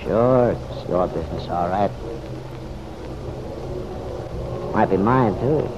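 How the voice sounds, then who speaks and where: An actor playing a folksy, slyly probing police officer in an old radio drama, with wry, slangy, unhurried questioning. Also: male voice, lowish voice, lightly acted. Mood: wry, insistent. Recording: dull, noisy old radio recording.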